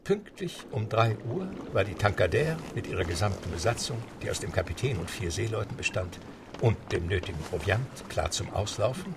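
A man narrating a radio play in a steady, measured storytelling manner. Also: fairly low pitch, vocal fry, very noisy.

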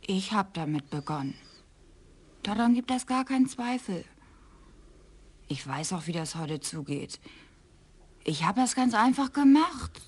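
An actress playing a distraught wife who confesses in a halting, emotional way in a radio play. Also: female voice, mid-range voice, sing-song, darkish tone, slightly rough.

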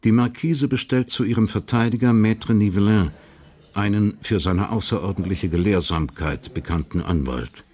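Low, husky male narrator. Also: normal speed, darkish tone. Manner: sober, matter-of-fact. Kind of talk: scripted radio drama narration.

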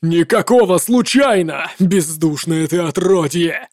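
A woman's voice: on the low side, animated, takes it slowly, darkish tone, no echo, studio quality, pitched below the performer's own voice. Woman voicing a growling enraged male paladin. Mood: desperate rage. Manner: growling, threatening.

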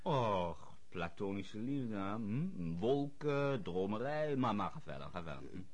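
Jovial, swooping French army captain. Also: male voice, lowish voice, big pitch swings. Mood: curious, amused. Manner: expansive, halting, full of little interjections like "hm" and "ah". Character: jovial, worldly French army captain, warm to his enemy. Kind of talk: radio drama acting.

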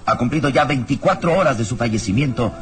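A man narrating a radio serial from a script in a dramatic, solemn, deliberate way. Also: mid-range voice, animated.

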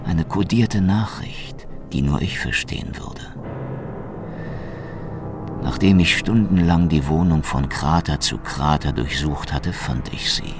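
A hard-boiled Soviet secret policeman in a noir radio play. His voice is extremely low and full of gravel, brooding and deliberate. Music plays behind his bitter, deadpan narration. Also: full character voice. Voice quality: deep, gravelly.

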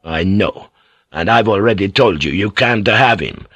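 A man's voice: fairly low pitch, lively intonation, a little slow, a bit bright, no echo, heavily acted. A man voicing a stern, righteously indignant senior churchman in an audiobook, giving emphatic, imperious commands. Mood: outraged command.

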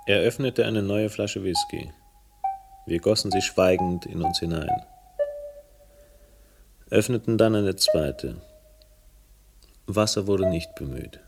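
A man's voice: sits low, takes it slowly, gravelly, long pauses, music in the background, heavily acted. A man playing a world-weary, hard-drinking, self-mocking character who narrates and speaks in a noir-like radio drama. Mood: brooding.

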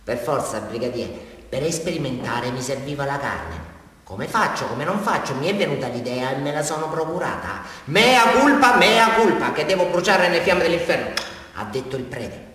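In a hugely echoing hall with music around him, an unhinged Southern Italian village villain making his confession. His voice is high for a man and wildly changeable. He rambles and repeats himself in a theatrical radio play monologue, veering between loud and hushed. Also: lively intonation, normal speed. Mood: rambling, insistent. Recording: very echoey hall, distant mic, music.

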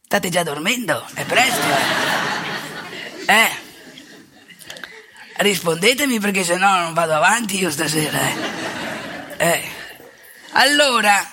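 A woman's voice: lowish voice, lively intonation, takes it slowly, long pauses, a crowd in the background. A woman playing a wry, wounded old former seminarian priest in a theatrical monologue, talking colloquially and prodding the audience with questions. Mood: teasing, lecturing. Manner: colloquial, teasing, rhetorical questions and tag words. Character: aging Veneto ex-seminarian priest, wry, wounded, ranting storyteller. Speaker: stage monologue actor.

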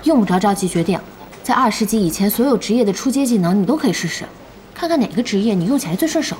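A woman playing a lively, exasperated young gamer in an audio drama, expressive, emphatic and chatty. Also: medium pitch, quick pace, a little hiss or hum, close to an everyday voice. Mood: explaining. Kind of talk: animated Chinese audio drama acting. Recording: music bed under the dialogue.

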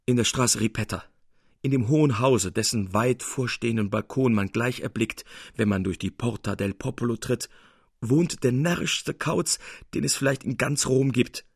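A male narrator reads classic literary prose. His delivery is precise and theatrical, with a husky grain to a medium-pitched voice. The sound is very dry and close. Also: normal speed, no room sound. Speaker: narrator, reader. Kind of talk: expressive scripted literary narration.

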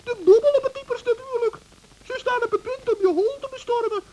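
A man voicing a small, crafty, kindly woodland gnome in a children's radio play, squeaky and urgent, on an old recording. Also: brisk, a little mellow, low-quality recording. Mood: earnest, alarmed. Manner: urgent, anxious, squeaky exclaiming.

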